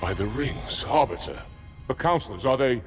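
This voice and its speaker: An actor voicing a proud, bitter alien warrior commander with a gravelly voice in a game cinematic. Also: male voice, mid-range voice, lively intonation, moderate pace, over loud music, lightly acted. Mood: urgent. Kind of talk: video game cinematic acting.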